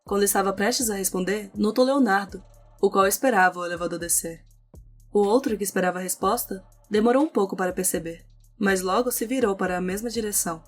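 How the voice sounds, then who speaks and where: A woman's voice: mid-range voice, brisk. A woman with a Brazilian accent reading scripted narration for an audio novel. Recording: music under the voice.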